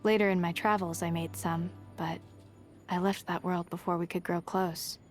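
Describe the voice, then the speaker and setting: An actress playing a guarded, resolute young huntress in a video game, plain-spoken and understated. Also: female voice, fairly low pitch, steady tone, normal speed, faint music behind, close to an everyday voice. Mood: reflective, guarded. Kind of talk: video game character voice lines.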